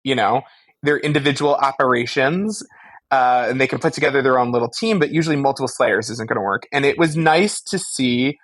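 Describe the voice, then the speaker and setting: A man's voice: mid-range voice, fairly quick, fairly bright tone. A man co-hosting a casual pop-culture discussion, talking in a slangy, analytical and jokey way. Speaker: podcast co-host.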